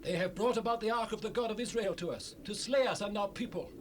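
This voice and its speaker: Casting: male actor as frightened townsman, rapid high delivery, biblical drama, clearly noisy cassette. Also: close to an everyday voice. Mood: panicked. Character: panicked townsman pleading to be spared. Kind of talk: dramatized Bible audio recording. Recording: noisy raw cassette rip.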